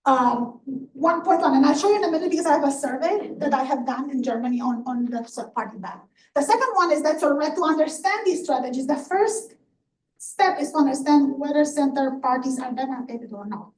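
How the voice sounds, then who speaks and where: Woman academic lecturer, high voice, emphatic self-interrupting explainer, heard from a distance in an echoey room. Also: expressive, a little fast. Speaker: professor giving a lecture. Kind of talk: academic lecture and question answering. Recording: echoey room, distant mic, background noise.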